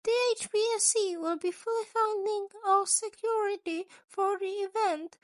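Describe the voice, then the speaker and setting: A woman's voice: extremely high pitch, fairly even intonation, normal speed, no echo, pitched above the performer's own voice. A woman voicing a small male animal school principal, a cunning, gleeful schemer who talks in formal, wordy sentences in a fan fiction audio story. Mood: scheming, intense. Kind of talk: acted dialogue in a fan fiction reading.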